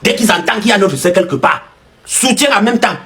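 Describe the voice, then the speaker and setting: A man's voice: high voice, lively intonation, fast, fairly bright tone. A man giving casual, emphatic social media commentary and repeating short phrases.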